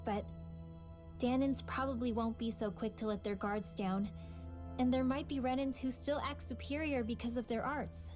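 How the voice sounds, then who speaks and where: A woman's voice: fairly high pitch, fairly even intonation, moderate pace, music in the background, natural voice. An actress voicing an earnest, thoughtful young woman mage in a video game cutscene, speaking sincerely. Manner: sincere, reflective.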